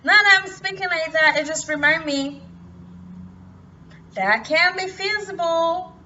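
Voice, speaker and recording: Slow, halting woman from Cameroon. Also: very high-pitched, pushed and bright. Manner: hesitant, halting, many restarts. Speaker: vlogger. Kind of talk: personal motivational talk. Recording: distant, muffled, light noise.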